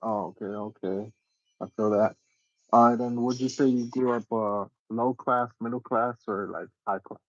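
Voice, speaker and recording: A man's voice: mid-range voice, fairly even intonation, normal speed, no room sound, dull audio. A man hosting a casual livestream interview, slangy and agreeable, asking questions in a laid-back way.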